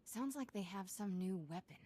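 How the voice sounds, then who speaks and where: An actress playing a spirited, wry young Jedi apprentice in a video game. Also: female voice, medium pitch, normal speed, heavy background noise, close to an everyday voice. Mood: urgent.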